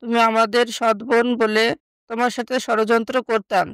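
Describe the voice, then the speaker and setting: A woman's voice: fairly high pitch, level tone, normal speed, smooth, no room sound, close to an everyday voice. A woman playing a contrite young stepsister, once scheming, in a moral story. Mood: begging forgiveness. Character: scheming young stepsister, now poor and remorseful.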